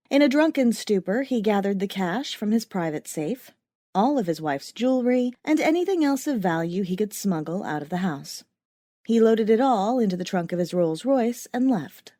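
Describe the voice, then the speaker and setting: A woman narrating scripted first-person fiction as an audiobook, with a wry, expressive storytelling manner. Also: mid-range voice, no room sound, clean studio sound.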